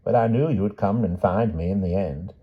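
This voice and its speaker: A man voicing a frail, timid old aunt in an audiobook story, with a quavering, hushed voice and a mild, simple manner. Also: deep voice, a little fast, breathy edge. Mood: mild, firm. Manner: mild, simple, endearing old-fashioned address. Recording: dull, muffled audio.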